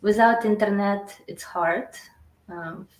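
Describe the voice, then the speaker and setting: Ukrainian woman, halting English, echoey room. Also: mid-range voice. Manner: halting non-native English with many fillers. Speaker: interview guest. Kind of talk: casual interview.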